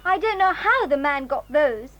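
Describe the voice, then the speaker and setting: A woman's voice: high-pitched, animated, moderate pace, lo-fi sound. A woman with a British accent playing a frightened young girl who speaks timidly and earnestly.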